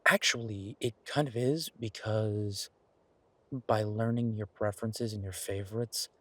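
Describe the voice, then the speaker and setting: Casting: male actor as devoted chef boyfriend for intimate audio roleplay, lowish husky voice, playful and earnest. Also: fairly even intonation, measured pace, fairly bright tone, no room sound. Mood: affectionate, teasing. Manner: teasing, earnest, rambling self-justification. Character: affectionate eager chef boyfriend, playful, food-loving, werewolf romance.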